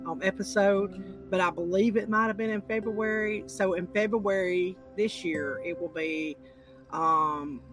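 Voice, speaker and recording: Woman podcast host, raspy and deep-voiced, chatty and rambling. Music bed behind her. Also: fairly even intonation, a little slow, a bit bright. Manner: rambling, repeats words, many "you know"s. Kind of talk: casual interview chat.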